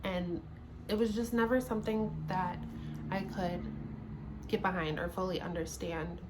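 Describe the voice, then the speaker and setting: A chatty woman in her late twenties with a slightly lower voice, taking questions one by one in a relaxed home vlog. She laughs easily and restarts her sentences as she goes. A faint hum of room noise sits behind her. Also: a little slow. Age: 20s. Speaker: YouTuber. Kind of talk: casual sit-down Q&A vlog. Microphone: camera mic, seated at home.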